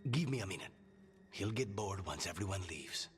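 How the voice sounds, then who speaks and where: An actor playing a grim, guarded assassin in a video game, terse, restrained and weary. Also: male voice, deep voice, lively intonation, moderate pace, in a large hall, faint music behind. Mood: weary, tense. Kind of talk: video game cutscene acting.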